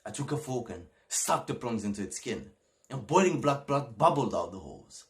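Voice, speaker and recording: A man acting a disturbed, childlike young man, volatile. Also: mid-range voice. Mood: gleeful, morbid. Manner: halting, repeating words, swinging between hushed and excited. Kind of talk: theatrical audition monologue.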